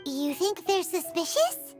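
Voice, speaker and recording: A woman voicing a small, excitable, childlike fairy companion in a video game, exclamatory and wide-eyed. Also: very high voice, a little slow, faint music behind, exaggerated voice. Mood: curious. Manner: exclamatory, wide-eyed, refers to self in third person. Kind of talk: video game character acting.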